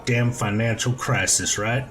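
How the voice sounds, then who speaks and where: A man's voice: medium pitch, steady tone, unhurried, fairly bright tone, close to an everyday voice. Man playing a jovial, chummy extrovert. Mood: joking. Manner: chummy, joking. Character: happy, jovial, extroverted man chatting at a bar.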